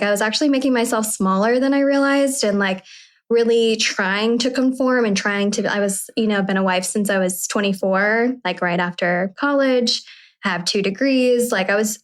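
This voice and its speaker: A woman's voice: mid-range voice, moderate pace. A woman in her early thirties hosting a podcast, talking candidly and at length, with restarts and the odd swear. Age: 30s. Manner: candid, animated, rambling, with swearing. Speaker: podcast host. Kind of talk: casual podcast conversation.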